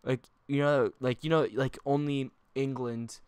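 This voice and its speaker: A man's voice: mid-range voice, fairly even intonation, unhurried, darkish tone, clear, resonant tone, dry recording. A young man hosting a casual chat, rambling and joking with lots of fillers. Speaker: host.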